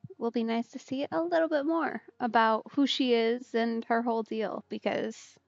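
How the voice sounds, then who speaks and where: A woman's voice: on the high side, fairly even intonation, normal speed, gravelly, no echo. A woman giving casual live commentary as she plays a game, close on the mic with slightly muffled sound. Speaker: game streamer. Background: soft game music.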